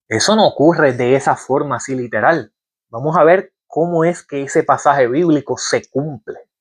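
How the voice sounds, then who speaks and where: Man teaching from the Bible. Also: fairly high pitch. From Puerto Rico. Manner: asks the listener rhetorical questions, reads scripture aloud. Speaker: preacher.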